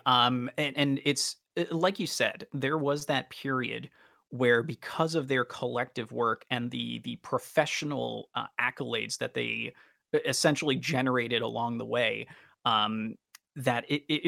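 A man co-hosting a casual podcast discussion, talking in a wordy, halting, enthusiastic way with frequent stammers. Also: mid-range voice, gravelly, sharp tone, dry recording, clean studio sound. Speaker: podcast co-host.

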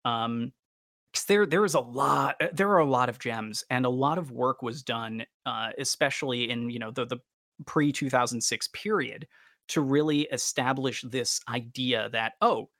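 A man's voice: mid-range voice, moderate pace, a bit bright, dry recording, studio quality. A man hosting a podcast, talking casually and with enthusiasm about fandom. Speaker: podcast host.

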